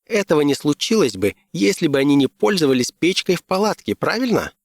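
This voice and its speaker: A man voicing a brisk, pedantic company lawyer, assertive and interrogating, in an audiobook. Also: on the high side, very animated, creaky, no echo, voice pushed up, full character voice. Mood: insistent. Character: brisk, insistent male company lawyer, pedantic and cross-examining. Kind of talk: character voice in audiobook.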